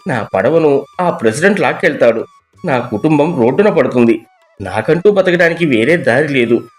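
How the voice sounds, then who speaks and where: A man playing a poor, kind-hearted fisherman and father, speaking with earnest emotion in an animated moral story. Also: fairly high pitch, normal speed, soft background music, close to an everyday voice. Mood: torn, apologetic.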